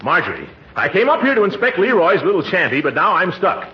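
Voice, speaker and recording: An actor playing a pompous, excitable middle-aged uncle in a 1940s radio comedy, blustering and exclaiming. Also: male voice, sits high, fairly quick. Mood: exasperated. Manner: blustery, exclaiming, chuckling and groaning. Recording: noisy, dull, echoey old radio recording.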